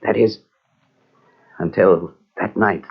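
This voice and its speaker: An actor playing a tormented, bitter husband in an old-time radio mystery, with a dramatic, brooding delivery. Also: male voice, low voice, steady tone, slow pace, creaky, breathy edge, heavily acted. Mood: haunted, confessional. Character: tormented, bitter husband confessing his crime to a doctor. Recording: muffled vintage radio recording from cassette.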